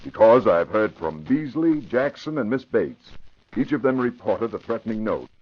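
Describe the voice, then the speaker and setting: An actor playing a brisk, authoritative male police commissioner in an old-time radio drama. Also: fairly low pitch, expressive, husky. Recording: muffled old radio recording.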